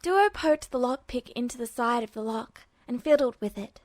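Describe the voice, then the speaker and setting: A woman narrates a piece of fiction in a fairly high voice. The reading is scripted storytelling, recorded close and very dry, with no room sound. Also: lively intonation, normal speed, a little mellow, dry recording. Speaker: narrator, fan fiction reader.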